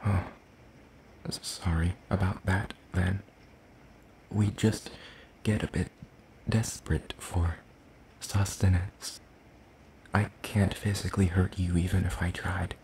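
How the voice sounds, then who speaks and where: A man voicing a hungry, soft-spoken demon in a hushed ASMR roleplay, halting and deliberate, sinister yet apologetic. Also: deep voice, level tone, a little hiss or hum, rough recording. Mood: flustered, apologetic. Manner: slow, halting, hushed delivery with deliberate pauses.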